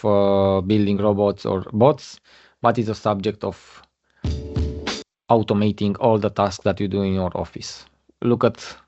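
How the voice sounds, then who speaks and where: Halting man presenting over a muffled call. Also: fairly low pitch, a little mellow. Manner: halting, many fillers and restarts. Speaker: guest speaker. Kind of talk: webinar presentation. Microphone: video call. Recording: muffled lo-fi online call audio.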